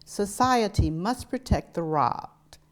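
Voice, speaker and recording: A woman's voice: medium pitch, animated, takes it slowly. A woman reading a historic letter aloud in an emphatic, rhetorical delivery. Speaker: reader at a commemorative public reading.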